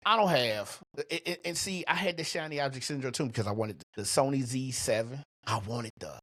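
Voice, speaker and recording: A middle-aged man with a husky voice hosting a motivational business podcast, speaking in a colloquial, emphatic, punchy way on a close microphone. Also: mid-range voice, a little fast, fairly bright tone, no room sound. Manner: colloquial, emphatic, punchy delivery with rhetorical questions. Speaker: podcast host and coach.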